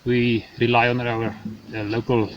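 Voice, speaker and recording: A man's voice: mid-range voice, little pitch movement, measured pace, far from the mic, dull audio, a little hiss or hum. A man from Namibia who is a farmer, speaking in a plain, matter-of-fact way.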